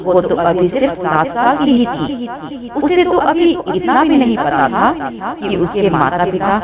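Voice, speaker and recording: A woman's voice: on the high side, animated, a little slow. A woman narrating a scripted moral story as a storyteller. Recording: dull audio, loud crowd bed behind.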